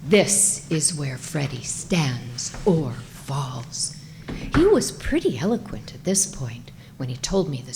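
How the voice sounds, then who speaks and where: Lively low-pitched woman narrating a story. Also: vocal fry, a little hiss or hum. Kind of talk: scripted comic story narration.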